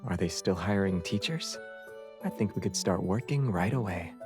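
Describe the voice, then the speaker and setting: An actor voicing a calm, teasing young man in a game, with an understated, deadpan delivery. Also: low-pitched, moderate pace. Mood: dry teasing. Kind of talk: scripted game dialogue. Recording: music under the voice. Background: prominent game music.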